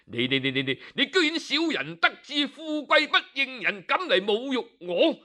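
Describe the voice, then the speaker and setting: Guy putting on a sputtering angry young scholar, pitch shoots way up, stutters with rage. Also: very animated, moderate pace, heavily acted. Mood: furious. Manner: stammering, shouting in fury. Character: enraged young male scholar, humiliated and proud.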